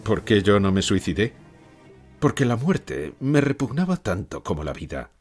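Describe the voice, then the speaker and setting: Actor as husky, brooding pessimist philosopher, low-voiced man. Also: big pitch swings, moderate pace, darkish tone, soft background music, full character voice. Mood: anguished, grandiose. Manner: dramatic, declamatory, rhetorical repeated questions. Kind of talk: acted fictional interview, audiobook.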